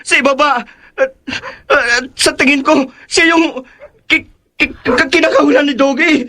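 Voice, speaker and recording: A woman's voice: high-pitched, fairly even intonation, moderate pace, pushed and bright. A woman playing a terrified, stammering child pleading with a parent in a horror audio drama. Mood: terrified, tearful.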